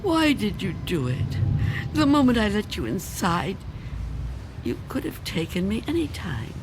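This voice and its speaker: An actress playing a frightened, fragile old woman in a radio drama, tremulous, pleading and halting. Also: mid-range voice, big pitch swings, over music. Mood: wounded, subdued. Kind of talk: dramatic radio play acting.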